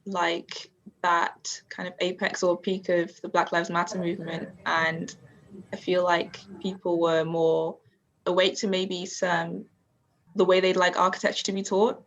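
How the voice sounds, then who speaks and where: Low-voiced woman, measured explanation. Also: low-quality recording. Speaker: member of a student collective. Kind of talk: casual group interview.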